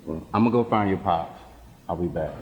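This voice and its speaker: A man's voice: sits low, brisk, highs cut off, slight background noise, close to an everyday voice. A man acting as a friendly well-wisher in a live stage play. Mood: cheerful. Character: friendly man congratulating young graduates. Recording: echoey hall, live stage recording.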